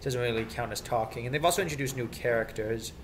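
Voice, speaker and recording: A man's voice: medium pitch, fast. A man rambling casually and opinionatedly in an off-the-cuff video rant, often repeating himself. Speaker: video creator. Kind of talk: casual off-the-cuff rant about children's TV theme songs. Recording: some background noise, amateur home recording.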